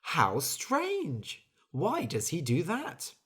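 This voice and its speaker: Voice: medium pitch, huge pitch swings, drawn-out words, a bit bright, slightly rough, voice pushed up, heavily acted. A reader voicing a curious stranger in a storybook who keeps asking the bird about her home life. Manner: wondering, inquisitive.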